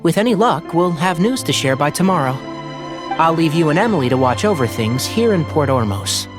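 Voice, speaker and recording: A youthful, clever scholar ranger with a lively, widely moving voice. He sounds assured and articulate, reasoning carefully. It is anime game dialogue over clearly audible music. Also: a little high, brisk. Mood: calm, reasoning. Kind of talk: anime-style game voice acting.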